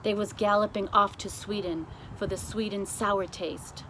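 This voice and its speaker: A woman's voice: mid-range voice, steady tone, moderate pace, a bit bright, close to an everyday voice, poor audio. A woman reader puts on a big friendly storybook giant. He is simple and earnest, talking in quaint broken grammar with emphasis, over slight noise. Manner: quaint ungrammatical speech, emphatic.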